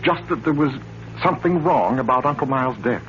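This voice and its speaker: An actor in a 1940s radio thriller playing a troubled, desperate man who confides in a halting, intense way. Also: medium pitch, lively intonation, fairly bright tone, a little hiss or hum, lightly acted. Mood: troubled, confiding. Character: troubled man desperate to uncover his uncle's death. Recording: muffled old radio broadcast, music behind.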